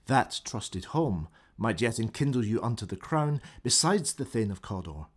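An actor playing a wary, honourable noble general in a Shakespearean radio drama, thoughtful and formal. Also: male voice, fairly low pitch, very animated, normal speed. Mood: wary, warning.

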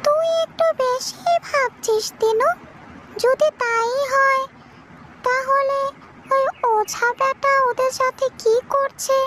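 Woman voicing a shrill, vengeful ghost sister. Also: steady tone, slow pace, a bit bright, slight background noise, heavily acted. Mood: suspicious, worried.

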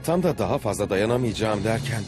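A man's voice: on the low side, lively intonation, fast, close to an everyday voice. A man playing an earnest, adventurous traveller who formally narrates his journeys in a dubbed cartoon. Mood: earnest storytelling. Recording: music behind the voice. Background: music.

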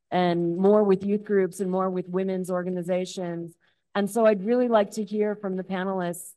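A woman's voice: on the low side, darkish tone, poor audio. A woman at a conference reflects on the past days and asks the panel a thoughtful question. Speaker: conference participant asking a question. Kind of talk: spontaneous audience question at a conference.

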